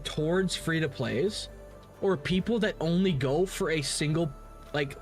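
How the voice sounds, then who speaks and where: A man giving casual livestream commentary on games as the host, rambling and opinionated, with casual swearing. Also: a little high.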